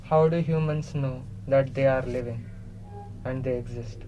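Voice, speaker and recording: A man playing a captive, all-knowing non-human being in a radio play, curious and literal, speaking in halting, deliberate pieces. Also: medium pitch, fairly even intonation, mellow, highs cut off, soft background music, natural voice. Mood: probing curiosity. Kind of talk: semi-improvised radio play dialogue.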